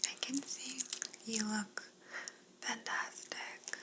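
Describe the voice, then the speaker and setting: A woman playing a polite, curious alien examiner in an ASMR roleplay, speaking in a soft breathy whisper with a soothing, clinical manner. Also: a little high, steady tone. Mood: curious, fascinated. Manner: slow, soothing, clinical, courteous.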